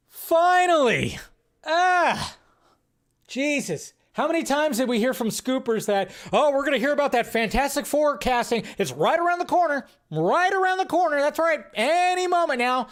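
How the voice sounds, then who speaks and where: A man hosting a casual live movie news show, rambling through his commentary in an animated way with exasperated asides. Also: very high voice, normal speed.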